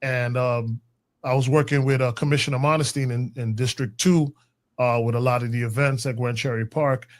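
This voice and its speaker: Relaxed, slangy man co-hosting a podcast. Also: mid-range voice, moderate pace. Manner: relaxed, slangy, storytelling with restarts. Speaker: podcast co-host. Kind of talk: casual chat.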